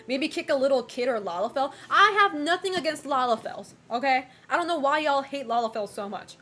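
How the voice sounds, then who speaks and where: A woman's voice: high voice, expressive, moderate pace, bright, forceful tone. Chatty young woman streamer, laughing. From United States and Japan. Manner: chatty, frank, laughs often, many fillers. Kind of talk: casual chat reading viewer messages.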